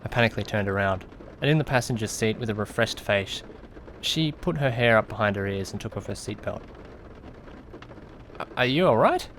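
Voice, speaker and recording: A man's voice: fairly low pitch, expressive, normal speed, pauses often, a bit bright, slight background noise. A man playing an anxious, easily panicked young man who narrates his own misadventure in an audio drama, dramatic and questioning himself. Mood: anxious, alarmed. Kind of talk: first-person audio drama narration with acted dialogue.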